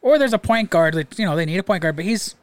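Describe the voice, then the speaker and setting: A man with a slightly high voice explains his reasoning at length, earnest and a little halting. The sound is close and dry, with no room around him. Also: animated, dry recording. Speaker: podcast guest. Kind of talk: casual sports talk.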